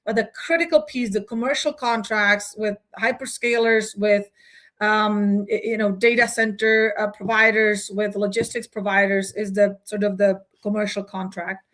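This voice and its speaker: A woman's voice: medium pitch, steady tone, moderate pace, a bit bright. A woman in her forties, from the Czech Republic, answering as a guest CEO in a business interview, thoughtful, with frequent fillers and restarts.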